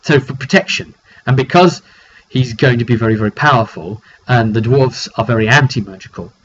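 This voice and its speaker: Halting male game storyteller, slightly muffled. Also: medium pitch, fairly bright tone. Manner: halting, thinking aloud, repeats words. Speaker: game master and vlogger. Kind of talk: informal narrated recap of a tabletop roleplaying session. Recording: dull recording with faint background noise.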